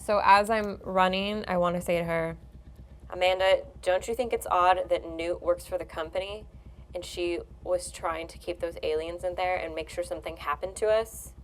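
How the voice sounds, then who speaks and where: A woman's voice: on the low side, steady tone, moderate pace, low-quality recording. A woman playing a tabletop role-playing game, improvising casually in character and pressing her point insistently.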